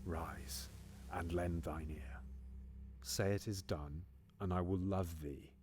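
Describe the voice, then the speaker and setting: A man's voice: sits low, steady tone, slow, darkish tone, slight background noise. An actor playing a scheming, paranoid usurping king in a Shakespearean audio drama, swinging between menacing whispers and sudden outbursts.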